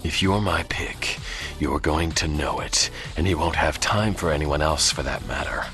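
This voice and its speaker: An actor playing a courtly, reserved vampire in a video game, speaking formally and in measured phrases. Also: male voice, very deep voice, a bit bright, faint music behind. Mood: courteous, dry. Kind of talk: scripted game dialogue.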